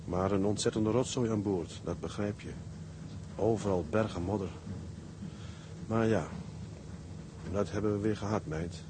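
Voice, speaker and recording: Male actor as seaman writing to his sweetheart, lowish voice, measured, intimate, radio drama, noisy ship ambience. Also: steady tone, lightly acted. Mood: tender, reflective. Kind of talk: radio drama letter narration.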